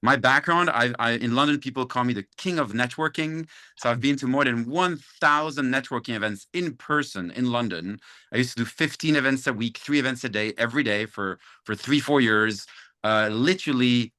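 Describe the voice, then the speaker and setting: Upbeat man with a bright voice. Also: medium pitch, moderate pace. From Belgian American. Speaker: guest investor. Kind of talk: online meetup introduction. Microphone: video call.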